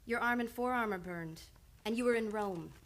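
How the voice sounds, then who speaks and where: A woman's voice: a little high, normal speed, lightly acted. A woman playing a composed, earnest psychoanalyst in an old-time radio play, probing and insistent with a patient she cares for. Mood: pressing, insistent. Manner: insistent, probing, clinical yet affectionate. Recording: live radio play with background noise.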